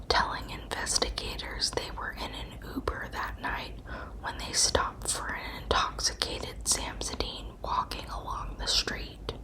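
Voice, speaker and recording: A woman narrating scripted true crime as ASMR in a breathy, unvoiced whisper, with measured, deliberate storytelling. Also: rough recording.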